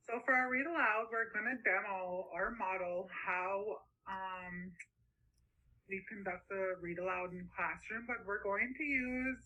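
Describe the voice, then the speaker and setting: A woman's voice: medium pitch, bright, forceful tone, a little hiss or hum, poor audio. A young woman, speaking as a student teacher presenting and reading aloud. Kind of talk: informal presentation and read-aloud.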